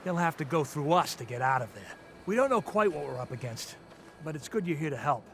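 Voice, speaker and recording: A high-pitched, defiant militia fighter. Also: male voice, sing-song, fairly quick, slight background noise. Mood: tense, defiant. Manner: blunt, colloquial, challenging. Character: defiant, combative male militia fighter, blunt and suspicious. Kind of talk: video game voice acting.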